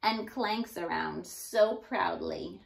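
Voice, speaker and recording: A woman in her thirties giving an expressive storybook read-aloud for young children, like a teacher addressing her class. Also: on the low side, slow pace, vocal fry, slight background noise. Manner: animated, addressing young children, sounding out rhymes. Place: small indoor room.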